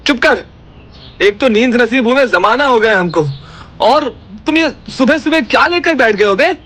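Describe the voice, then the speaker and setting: A man's voice: extremely high pitch, lively intonation, normal speed, a bit bright, heavily acted. A man playing an exasperated young buddy in a comedy audio drama, snappish and grumbling. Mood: irritated.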